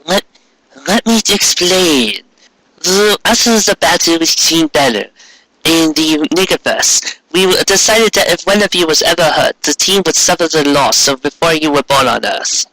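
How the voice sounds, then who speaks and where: A man's voice: on the high side, measured pace, pushed and bright, no echo, pitched above the performer's own voice, rough recording. A man voicing the solemn, formal ghost of a moon queen in an anime fan fiction reading.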